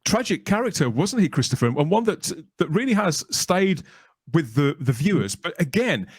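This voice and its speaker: A man's voice: a little high, very animated, normal speed, breathy edge, dry recording, studio quality. A man hosting a casual podcast interview, feeling his way carefully through his phrasing.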